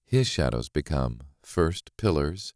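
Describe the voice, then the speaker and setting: A man with an extremely low voice reads a thriller audiobook. His delivery is deliberate, brooding and dramatic, and the sound is dry and close. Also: sing-song, darkish tone, dry recording. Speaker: audiobook narrator. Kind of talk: first-person dramatic scripted narration of a thriller.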